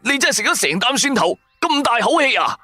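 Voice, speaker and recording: A man's voice: very high voice, very animated, fast talker, pushed and bright, dry recording, soft background music, pitched above the performer's own voice, full character voice. A man voicing a brash, loyal male buddy hungry for riches, exclamatory and slangy, in a radio drama. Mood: shocked. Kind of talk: Cantonese radio drama acting.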